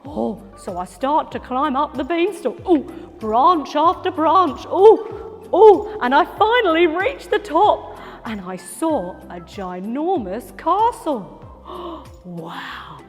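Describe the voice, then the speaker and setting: A woman voicing an excitable, cheeky young boy who tells his own story with many gasps and sing-song exclaims. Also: sits high, slow, big-room sound, faint music behind, exaggerated voice. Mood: excited. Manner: gasping, breathless, sing-song. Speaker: storyteller actor. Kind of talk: theatrical children's storytelling.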